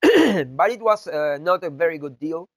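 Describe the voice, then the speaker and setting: A man with a stuffy, nasal voice from the flu talks through a video game tutorial in his second language. His pitch sits a little high and his pace is very slow, stopping and restarting often with fillers. He is close to the mic in a dry, deadened room. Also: sing-song, fairly bright tone, no room sound. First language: not English. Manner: halting, many fillers and restarts. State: has the flu, congested. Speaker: video game content creator. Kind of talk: casual gameplay tutorial talk.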